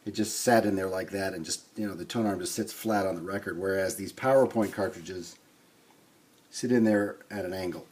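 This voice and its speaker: A man hosting a hands-on repair video, explaining things informally and thinking aloud with hesitant pauses. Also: low-pitched, darkish tone, husky. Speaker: host of a record player restoration video. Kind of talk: casual hands-on demonstration.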